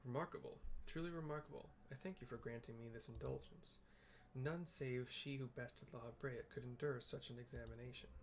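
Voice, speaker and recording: A man's voice: mid-range voice, fairly even intonation, brisk, gravelly, hardly any pauses, a little hiss or hum, natural voice. A man reading aloud a video game's solemn, cryptic emissary of an ancient god, in formal, portentous phrasing. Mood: measured approval. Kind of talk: reading aloud game dialogue. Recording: music behind the voice.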